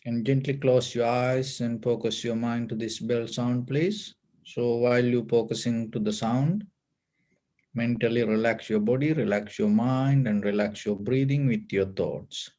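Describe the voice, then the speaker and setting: A man leads a guided meditation as a Buddhist teacher, giving calm, deliberate instructions in short phrases. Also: mid-range voice.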